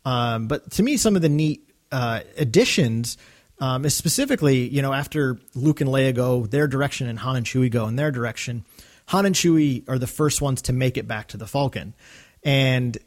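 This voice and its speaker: A man's voice: mid-range voice, expressive, normal speed. A man with a mid-range voice chats as a podcast co-host. He is enthusiastic and loose, rambling as a fan does through his thoughts. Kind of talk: casual fan discussion.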